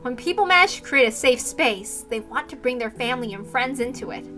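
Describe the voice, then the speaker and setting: A woman reads a visual novel aloud as a streamer, narrating the story expressively. Her voice is fairly high and bright, and faint music plays behind it. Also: expressive, fairly quick.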